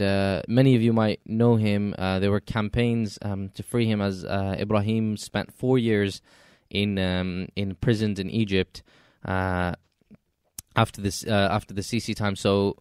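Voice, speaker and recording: A male podcast host with a low-pitched, smooth, resonant voice. He talks in a polite, measured way with a touch of joking. The sound is close and dry, with no room around it. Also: darkish tone, no room sound. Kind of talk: casual interview chat.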